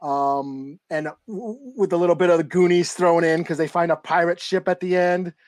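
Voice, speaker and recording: A man co-hosting a podcast, speaking in a relaxed, halting way, recapping a show. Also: on the high side. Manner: relaxed, halting, many fillers and restarts. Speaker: podcast co-host. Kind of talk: casual chat, recapping a show.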